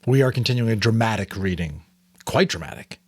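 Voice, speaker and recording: A man reading a story aloud dramatically as host, in an expressive, theatrical manner with casual asides. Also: fairly low pitch, brisk, husky, dry recording. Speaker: audiobook reader and host.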